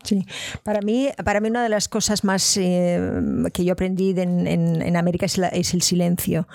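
A woman's voice: lowish voice, little pitch movement, normal speed, a little mellow, no room sound. Chatty woman host, dry close sound. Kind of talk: casual panel chat.

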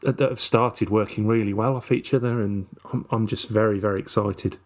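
Low-voiced man, halting and hesitant. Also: fairly even intonation. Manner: hesitant, many fillers and repeated words. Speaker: football podcast co-host. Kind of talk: casual match review chat.

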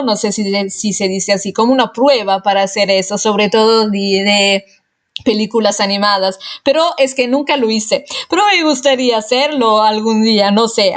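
A woman talks in a casual, friendly way, her voice sitting a touch high. She speaks a she is still learning, so she often pauses and corrects herself mid-word. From Italy. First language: Italian. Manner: chatty, hesitant with frequent fillers and self-corrections. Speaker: vlogger answering a viewer question. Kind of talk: casual chat.

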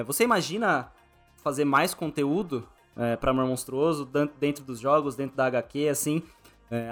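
A Brazilian man speaks as a podcast interviewer at a medium pitch. His phrasing is informal and tentative, wandering as he builds a question. Light music sits underneath. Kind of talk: casual interview.

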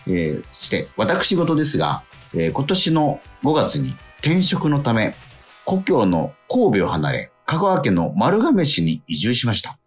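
A man hosting a radio show, chatting casually and reading out letters in a polite, animated way with frequent interjections. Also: mid-range voice, unhurried, slightly rough. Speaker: radio show host and actor. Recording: music playing faintly behind. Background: background music.

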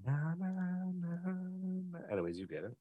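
A man co-hosting a podcast, bantering casually with a joking, deadpan manner. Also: a little high, normal speed, phone-quality sound, a crowd in the background. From Canada. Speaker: podcast co-host.

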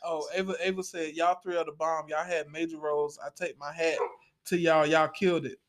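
A man's voice: fairly high pitch, little pitch movement, fairly quick. An American man in casual conversation about acting, circling back and repeating phrases for emphasis. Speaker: stage play actor, panel guest. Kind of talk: casual group chat.

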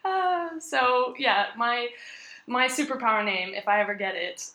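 Woman, podcast co-host. Bright, teasing, giggly delivery, bare echoey room. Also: on the high side, a little slow. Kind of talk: casual chat. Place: cold apartment full of moving boxes.

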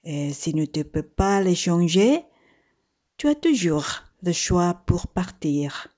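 A woman giving a prepared personal message in a deliberate, careful manner. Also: fairly low pitch, big pitch swings, dark tone. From Australia.

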